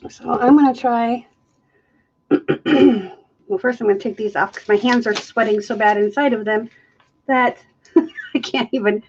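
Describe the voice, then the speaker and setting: A woman's voice: on the high side, unhurried, pauses often, lo-fi sound, slight background noise. A woman hosting a live fluid art stream, chatting casually and joking at her own expense. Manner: chatty, self-mocking, laughs often. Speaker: fluid art livestream host. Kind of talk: casual live demo chat. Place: art studio, camera overhead on the table.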